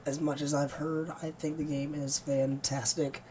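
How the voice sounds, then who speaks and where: Hoarse, rambling gaming streamer. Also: mid-range voice, level tone, normal speed, dark tone, slight background noise. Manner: rambling, easygoing, many fillers. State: hoarse, voice nearly gone. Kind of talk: casual chat to viewers before gameplay.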